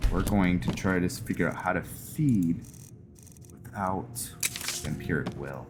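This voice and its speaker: Low, unhurried man commentating on games. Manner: thinking aloud, narrating decisions. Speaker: gaming video host. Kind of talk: roleplay game commentary, casual. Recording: game music behind the voice. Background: video game music.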